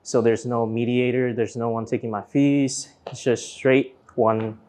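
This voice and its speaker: A man from Vietnam, raised partly in Florida, answers as an interview guest. His voice sits at a medium pitch. He talks casually and lays out his explanations one step at a time. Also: steady tone, measured pace, a little mellow. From born in Vietnam, grew up in Florida from age nine. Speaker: interview guest, business owner.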